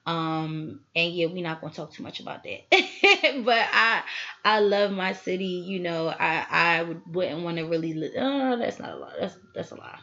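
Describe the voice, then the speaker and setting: A woman's voice: fairly low pitch, animated, moderate pace, bright, forceful tone, highs cut off. A woman, hosting a casual solo podcast, chatty and full of asides to her listeners. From Philadelphia, USA. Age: 20s. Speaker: podcast host.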